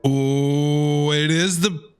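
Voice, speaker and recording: A man's voice: fairly high pitch, steady tone, extremely slow, clean tone, soft background music. A man streaming a video game, giving casual, playful reaction commentary in short interjections.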